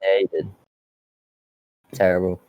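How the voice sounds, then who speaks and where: A man's voice: deep voice, little pitch movement, measured pace, highs cut off. A man co-hosting a podcast in casual, exclamatory banter with friends. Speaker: podcast co-host.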